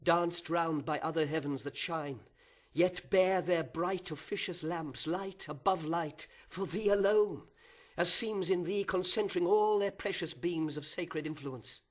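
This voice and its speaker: Fallen angel acted by a male reader for epic verse: raised pitch, impassioned, grandly eloquent, anguished, envious. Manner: impassioned, declamatory lament. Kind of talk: classical verse dramatic reading.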